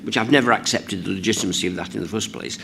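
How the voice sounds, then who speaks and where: A man speaking hoarsely with the flu, giving measured, emphatic remarks as a board member in a formal meeting. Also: on the low side, a bit bright. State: hoarse from the flu, voice lower than usual.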